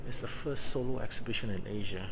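A middle-aged man walking through a large indoor art gallery, vlogging casually to viewers with slow, musing and enthusiastic remarks. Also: on the low side, creaky, noisy background. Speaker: travel vlogger. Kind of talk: casual walk-through vlog. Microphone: handheld camera selfie framing.

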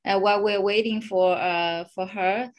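A woman hosting an online interview show, speaking slow, simple English with fillers. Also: medium pitch, fairly even intonation. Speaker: show host. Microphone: video call.

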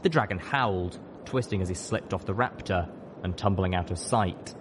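A man's voice: low voice, normal speed, noisy background. A man reading fiction aloud as an audiobook narrator, in a dramatic scripted style.

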